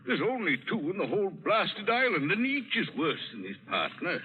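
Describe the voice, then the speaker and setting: An actor playing a grumbling, gouty, blustering colonial governor in an old-time radio drama. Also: male voice, sits high, wildly swooping pitch. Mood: grumbling, fussy. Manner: blustery, fussy, drawn-out delivery. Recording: tinny, band-limited vintage radio broadcast.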